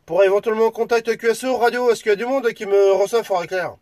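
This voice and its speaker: A man from France with a high-pitched voice talks as an amateur radio operator. He moves between call signs and casual chat, friendly and a little hesitant. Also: fast, darkish tone, dry recording.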